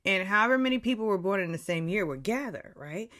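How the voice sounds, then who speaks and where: Animated gossipy woman in her forties. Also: medium pitch, quick pace. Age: 40s. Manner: animated, catty, drops into whispers for asides. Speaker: reality TV recap vlogger. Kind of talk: gossipy episode recap.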